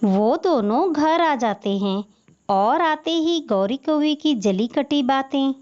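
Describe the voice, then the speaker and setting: Casting: female narrator, scripted cartoon storytelling, slow pace, slightly dull recording. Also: sits high, lively intonation, a little mellow.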